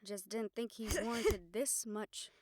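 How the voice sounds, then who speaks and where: A woman's voice: medium pitch, fairly even intonation, measured pace, noisy background, close to an everyday voice. A woman playing a teasing, supportive partner of a vampire in an audio roleplay, in a wry, conversational way. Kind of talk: dramatic audio roleplay acting.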